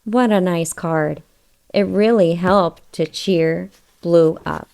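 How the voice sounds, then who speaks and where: Female storybook narrator for small children, lowish voice, very leisurely pace, question-led read-aloud delivery. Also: slow pace, gravelly, a little mellow. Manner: addresses young listeners with questions.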